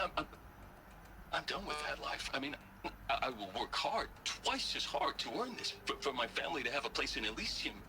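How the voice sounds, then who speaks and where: Guilt-ridden male engineer for game drama: earnest, stammering, slightly high voice, noticeable background noise. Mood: earnest, pleading. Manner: hesitant, stammering, repeating words. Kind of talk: video game voice acting. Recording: clear background noise, vehicle-like hum.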